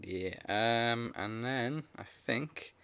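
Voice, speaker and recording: A man co-hosting a casual podcast, chatty and quick to laugh, retelling film scenes with put-on voices. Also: medium pitch, a bit bright, smooth. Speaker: podcast co-host.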